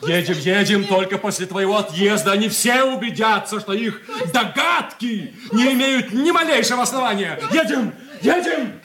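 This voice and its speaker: A man's voice: high-pitched, normal speed, hardly any pauses, roomy sound, a crowd in the background, natural voice. An actor playing an indignant, haughty young man in a radio drama, declaiming with theatrical scorn. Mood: urgent, commanding. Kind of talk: 1980s Soviet radio play acting.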